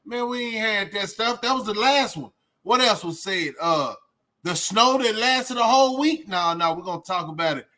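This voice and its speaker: Male streamer, high forceful voice, streetwise casual opinion talk. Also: expressive, normal speed. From Texas, United States. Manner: streetwise slangy talk with frequent "man" and "bro". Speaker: host of a reaction livestream. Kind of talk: casual opinionated rant to viewers.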